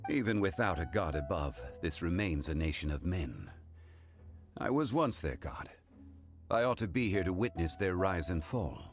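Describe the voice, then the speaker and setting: Stately ex-god gent in a game, low voice, formal, calm, music behind. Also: male voice. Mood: stately, resolute. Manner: composed, formal, measured. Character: ancient former god living as a calm, dignified gentleman. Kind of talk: video game voice acting.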